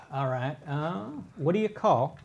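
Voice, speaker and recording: A man's voice: fairly high pitch, lively intonation, slow, creaky, muffled recording. A man co-hosting a computer-building stream, explaining parts patiently and telling corny dad jokes. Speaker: tech co-host. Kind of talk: casual live-stream explanation.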